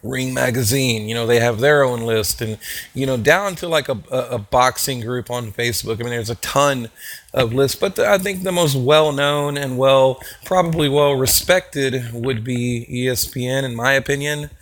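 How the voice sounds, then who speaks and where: A man with a hoarse voice from a cold, talking casually to his viewers as a channel host, rambling with many fillers and restarts. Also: mid-range voice, moderate pace, fairly bright tone. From Texas. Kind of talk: casual talk to camera.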